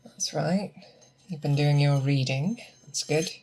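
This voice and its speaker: A performer playing a seasoned monster hunter who patiently instructs an apprentice in a scripted ASMR roleplay, with hesitant, measured check-ins. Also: mid-range voice, darkish tone, slight background noise, close to an everyday voice, poor audio. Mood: patient, encouraging.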